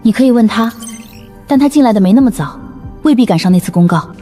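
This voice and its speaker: A young female survivor played by a woman in an animated drama, with a mellow, slightly high voice. She is composed and persuasive, explaining herself in measured, earnest phrases. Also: soft background music, lightly acted. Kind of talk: scripted animated drama acting.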